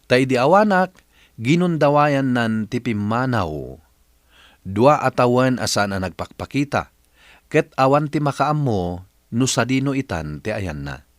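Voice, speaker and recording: Animated Ilocano male radio host, dry sound. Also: lowish voice, no room sound. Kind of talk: advice-giving and announcing on a radio programme.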